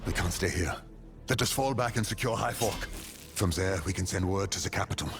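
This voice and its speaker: Stern gravelly army commander. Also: male voice, sits low, brisk, loud music behind the voice. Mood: grave, resolute. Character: battle-hardened male army commander, stern, dutiful, urgent. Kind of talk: video game voice acting.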